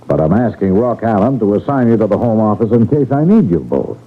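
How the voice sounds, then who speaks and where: An actor playing a shrewd, affable railroad detective with a folksy, commanding manner in an old radio drama. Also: male voice, lowish voice, sing-song, fairly quick. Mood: measured, confiding. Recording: tinny, narrow radio drama recording.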